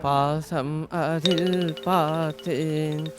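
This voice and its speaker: A man's voice: on the high side, steady tone, very slow. A man singing a film song as an amateur audition contestant. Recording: music behind the singing.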